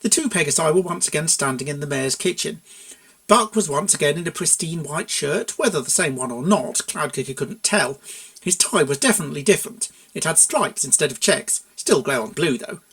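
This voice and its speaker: A narrator reading a comic fan fiction story aloud in an expressive storybook style. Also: medium pitch, fairly quick.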